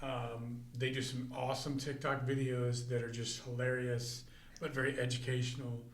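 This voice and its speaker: A man's voice: medium pitch, level tone, moderate pace. A man hosting a podcast, asking questions in a teasing, jokey way. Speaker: podcast host. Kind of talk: casual interview chat. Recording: echoey room.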